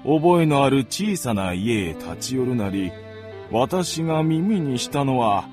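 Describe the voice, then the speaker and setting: A man playing a gentlemanly visitor who narrates his recollections in a literary radio drama. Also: mid-range voice, big pitch swings, slow, music in the background. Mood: reflective.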